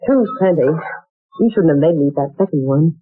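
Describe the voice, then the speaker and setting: A woman's voice: sits low, animated, fairly quick, breathy edge, no room sound, soft background music. An actress playing a proper, hungry young woman looking for work, in a 1940s radio drama, on a tinny old broadcast recording. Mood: earnest. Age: young adult.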